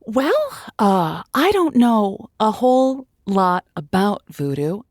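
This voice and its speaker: A woman's voice: mid-range voice, big pitch swings, slow, a little mellow, no echo, studio quality. A woman acting as an earnest, encouraging, slightly exasperated romantic advice host in a comedic audio drama, given to quaint pep talk. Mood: gently probing.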